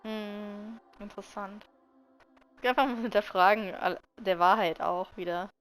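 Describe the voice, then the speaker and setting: A woman streams a game with a mid-pitched voice, thinking aloud in a casual, reflective way. Faint game music plays behind her commentary. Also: fairly even intonation, a little slow, low-quality recording. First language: German. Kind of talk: casual let's-play commentary in German.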